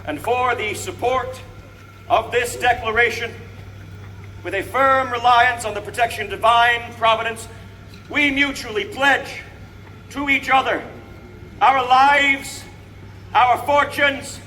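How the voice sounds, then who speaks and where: Man as a high, ringing colonial statesman orating outdoors. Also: sharp tone, heavily acted. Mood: fervent, resolute. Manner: grand, emphatic, deliberate phrasing projected to a crowd. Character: eighteenth-century American statesman, solemn fervent orator proclaiming independence. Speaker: historical reenactor. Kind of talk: theatrical public oratory, declamation. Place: outdoors before a crowd, speaking from a balcony. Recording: outdoor crowd recording from a distance, noisy.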